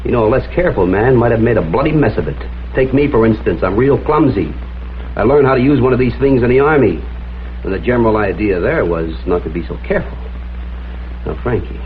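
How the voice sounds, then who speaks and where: An actor playing a hardboiled, sardonic detective in a dry, understated way, in the style of an old crime drama. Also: male voice, fairly low pitch, slight background noise, natural voice. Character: tough, sardonic hardboiled male private detective. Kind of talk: mid-century hardboiled detective radio-style drama acting. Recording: tinny vintage broadcast with music behind.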